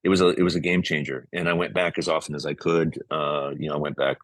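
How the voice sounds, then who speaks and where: A man's voice: very deep voice, fairly even intonation, quick pace, no echo, clean studio sound. A middle-aged American man giving reflective interview answers, earnest and often searching for his words. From United States, San Francisco Bay Area. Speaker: interview guest, musician and music compiler.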